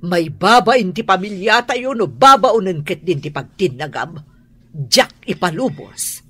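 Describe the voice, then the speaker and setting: Woman doing a bossy rich mom, husky voice swinging up and down, scolds hard. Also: on the low side, expressive, measured pace, pushed and bright, no echo, heavily acted. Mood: stern. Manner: commanding, scolding. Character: stern wealthy mother, proud and controlling. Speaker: Madam Carla, employer and mother. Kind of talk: radio drama acting.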